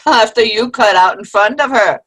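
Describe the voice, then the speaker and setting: A woman playing a sharp-tongued, sarcastic older friend in a comic play reading. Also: a little high, normal speed. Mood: needling. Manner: dry, sarcastic, needling. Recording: video call play reading.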